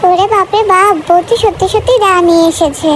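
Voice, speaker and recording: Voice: very high-pitched, fairly even intonation, a little fast, a bit bright, faint music behind. A performer voicing a henpecked, timid male peasant creature in a comic cartoon, whiny and exclamatory. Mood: fearful, exasperated. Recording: noisy cartoon soundtrack.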